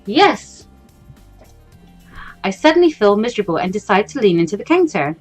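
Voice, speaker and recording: A woman's voice: medium pitch, big pitch swings, moderate pace, slightly rough. A woman voicing an anxious, awkward fourteen-year-old schoolgirl from a visual novel, hesitant and self-doubting. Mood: flustered. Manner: hesitant, stammering, over-thinking. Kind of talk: visual novel character reading. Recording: music behind the voice.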